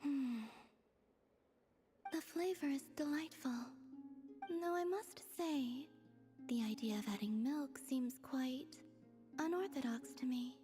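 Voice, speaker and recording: A woman voicing a gentle, wistful young moon goddess in an anime game, soft and breathy, with hesitant, formal phrasing. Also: sits high, slow, long pauses, faint music behind. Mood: serene, reflective.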